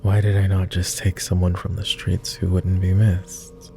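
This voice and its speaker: A man playing a weary, courtly vampire lord in an ASMR roleplay, murmuring formally and hesitantly with many pauses, close to the microphone. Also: low-pitched, monotone, creaky, over music. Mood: solemn.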